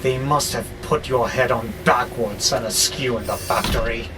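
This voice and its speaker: An actor playing an irritable, rebellious freight robot in an audio drama, with exasperated, sardonic, theatrical grumbling. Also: male voice, medium pitch, moderate pace, natural voice. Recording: clear steady background noise, vehicle-like hum.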